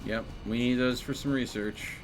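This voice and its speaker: A man's voice: mid-range voice, steady tone, moderate pace. A man giving casual live gameplay commentary, thinking aloud with playful exclamations. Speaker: video game streamer. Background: video game music.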